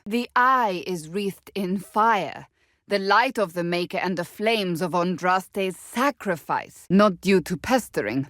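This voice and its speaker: A woman's voice: lowish voice, dry recording, studio quality, natural voice. An actress playing a stern, devout, blunt warrior woman in a video game, speaking formally and earnestly in clipped phrases. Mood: reverent, intimate. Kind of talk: video game character dialogue.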